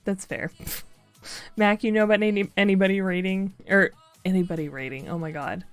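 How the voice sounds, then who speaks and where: A young woman hosting a game stream, talking loudly with friends in a chatty, laugh-filled way. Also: mid-range voice, fairly even intonation, normal speed, soft background music. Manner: loud, laughs a lot, chatty. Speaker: streamer host.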